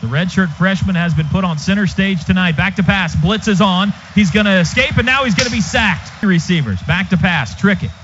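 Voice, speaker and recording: An American man doing live football play-by-play for radio, in an excitable, clipped running call of each play. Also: high-pitched, brisk, few pauses, faint music behind. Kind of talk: live sports commentary. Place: stadium radio booth. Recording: noisy, dull stadium radio broadcast.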